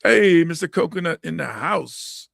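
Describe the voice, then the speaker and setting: A middle-aged man from Hawaii with a hoarse, raspy voice, hosting a podcast chat in a lively way, laughing often and acting out quotes. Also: fairly high pitch, unhurried, dry recording, clean studio sound. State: hoarse from coaching. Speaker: podcast host and comedian.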